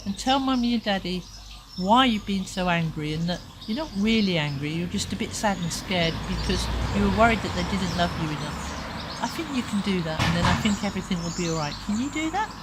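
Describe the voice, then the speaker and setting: A woman storyteller plays a kind, reassuring little man in a children's tale. He explains things encouragingly in a lively voice. The recording has noticeable background noise. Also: medium pitch, fairly quick, close to an everyday voice.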